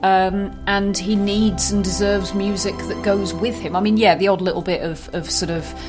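A woman's voice: mid-range voice, fairly even intonation, normal speed. A woman co-hosting a film discussion, casual yet analytical, putting her points in a considered, articulate way. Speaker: podcast co-host discussing films. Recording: music clearly audible under voice. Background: music.

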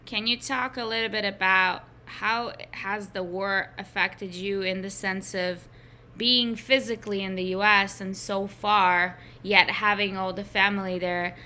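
A middle-aged woman, originally from a former Soviet republic, hosting a podcast with a clear, steady delivery. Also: mid-range voice, a little slow, a little hiss or hum. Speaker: podcast host. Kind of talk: scripted intro, then interview.

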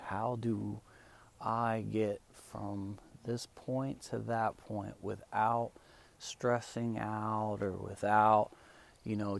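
A man whose first language is Spanish, coaching English learners in a calm, relaxed way with many fillers. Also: fairly low pitch, creaky. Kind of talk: relaxed teaching monologue.

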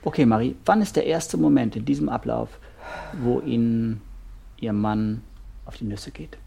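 Gravelly, husky, blunt couples therapist. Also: male voice, mid-range voice, normal speed, mellow. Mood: blunt, methodical. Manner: blunt, terse, methodical. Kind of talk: naturalistic improvised-sounding audio drama dialogue.

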